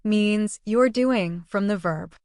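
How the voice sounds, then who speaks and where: A woman teaching a language lesson, explaining phrases in an even, scripted way. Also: medium pitch, slow, no echo, studio quality.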